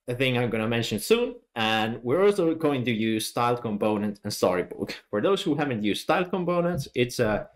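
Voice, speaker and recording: A man from Finland with a Finnish accent, giving a technical conference talk in a friendly, explaining way with many "uh" fillers. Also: medium pitch. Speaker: conference speaker.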